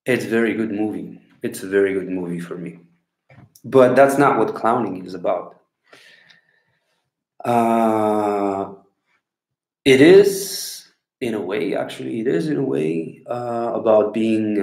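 A man in his thirties from Bulgaria, speaking English with a Bulgarian accent, rambling and pausing to find words as he answers questions into a laptop webcam at home. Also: fairly low pitch, animated, a little mellow. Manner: unhurried, rambling, pauses to search for words. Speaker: clown answering viewer questions. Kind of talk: casual talk to camera. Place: small room at home, indoors. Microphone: laptop webcam at desk. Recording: echoey room on a laptop mic.

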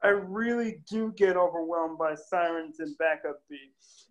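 A man's voice: on the high side, a little slow, muffled recording, noisy background, natural voice. A man reading the part of an anxious, easily overwhelmed man in a table read over a video call, in a whiny, flustered way.

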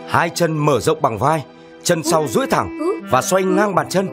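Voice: medium pitch, lively intonation, measured pace, close to an everyday voice. An actor voicing a kindly, fatherly adult bird in a Vietnamese cartoon, patient and encouraging as a teacher. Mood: instructing. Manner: gentle, instructive, encouraging. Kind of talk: Vietnamese animated film dialogue. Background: music.

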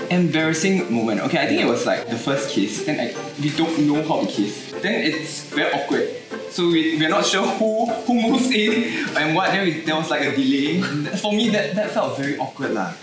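A young man chatting on a panel in a playful, halting way. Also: on the high side, expressive. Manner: playful, halting, lots of fillers. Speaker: panel guest. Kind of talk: casual panel chat. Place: studio set with a long table. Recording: roomy sound with loud background music. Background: music.

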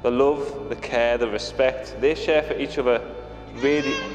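A man giving a wedding toast as a friend of the couple, speaking warmly with small hesitations. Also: medium pitch, fairly even intonation, unhurried. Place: wedding reception venue. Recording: echoey hall with music over it.

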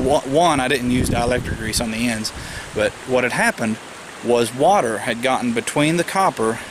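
Loud outdoor noise surrounds a man in his thirties talking to a camera. His voice sits at a medium pitch. He explains things casually and practically, like a hands-on hobbyist, with a folksy turn to his talk. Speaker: amateur radio hobbyist and vlogger. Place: outdoors in a rural yard. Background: loud outdoor ambient noise.

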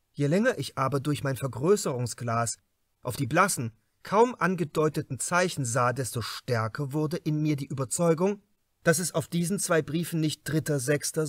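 A man reading a crime novel as an audiobook narrator, in a measured, expressive and carefully articulated way. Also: a little high, no echo, clean studio sound.

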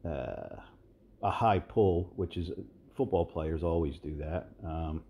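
Man, 60s, low and mellow, loose rambling fitness host voice-over. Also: slow pace. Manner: rambling, relaxed, many fillers and restarts. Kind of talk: casual voice-over commentary.

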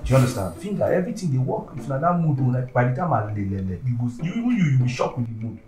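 A man's voice: medium pitch, big pitch swings, racing, dark tone, close to an everyday voice. A man with a Nigerian accent acting a pleading, boastful, impatient partner in a comedy skit, whiny, insistent and repetitive. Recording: echoey room, far from mic, music behind.

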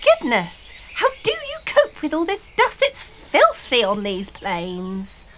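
A woman's voice: sits high, wildly swooping pitch, slow, a little hiss or hum, heavily acted. A woman voicing a tiny, plucky fairy child in a storybook, protesting in a polite, prim way. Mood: indignant. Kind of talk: storybook character voice.

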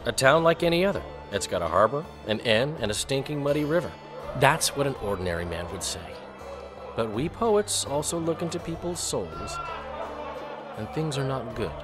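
A man's voice: medium pitch, sing-song, moderate pace, close to an everyday voice. Eloquent fantasy game poet, male actor: cheeky, talkative, mid-range, music underneath. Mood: wry, storytelling. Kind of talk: video game character acting.

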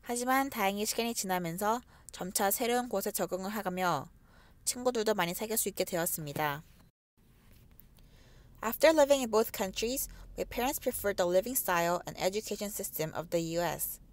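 Fast-reading young woman narrating her story. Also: medium pitch, animated. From South Korea. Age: 20s. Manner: reads a prepared script steadily. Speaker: student telling her family's immigration story. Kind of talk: scripted personal narration.

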